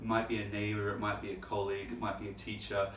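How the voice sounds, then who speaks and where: A man hosting a casual chat, relaxed and talkative, with lots of "like" and "you know". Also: fairly low pitch, quick pace, slight background noise. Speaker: host. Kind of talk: casual conversational talk. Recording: echoey room, mic at a distance.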